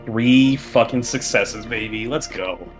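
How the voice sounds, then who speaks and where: A man's voice: medium pitch, slow pace. A man playing a tabletop game, chatting casually and swearing freely as he talks. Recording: music playing behind the voice. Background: background music.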